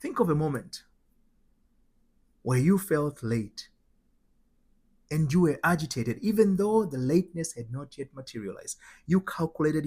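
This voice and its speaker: A man's voice: a little high, warm tone, breathy edge. A man from Tanzania with a Tanzanian accent, giving motivational spiritual teaching in an emphatic, repetitive way with rhetorical questions. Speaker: host, spiritual transformation coach.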